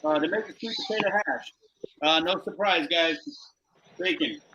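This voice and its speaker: A man with a fairly high-set voice hosts a casual live cooking demo. He talks in loose slang and hypes each dish. Clear noise and clicks sit behind the voice. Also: very animated, moderate pace, lo-fi sound. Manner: slangy, hyping the food. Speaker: host chef of a live cooking show.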